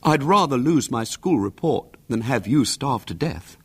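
A man's voice: fairly high pitch, big pitch swings, moderate pace, breathy edge, voice pushed up, exaggerated voice. A man doing a cheeky, offhand schoolboy. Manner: casual, offhand.

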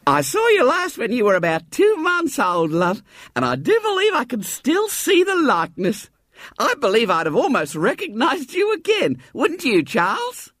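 A man voicing a motherly older woman who fusses fondly over a visitor, husky-voiced. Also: very high-pitched, huge pitch swings, moderate pace, a bit bright, no room sound, clean studio sound, pitched above the performer's own voice, full character voice. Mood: gushing. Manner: gushing, fond.

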